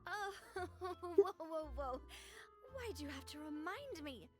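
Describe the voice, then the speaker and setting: A woman's voice: very high voice, lively intonation, slow, darkish tone, faint music behind, heavily acted. A woman voicing a flustered young noblewoman in a video game, pleading and embarrassed. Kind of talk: game voice acting.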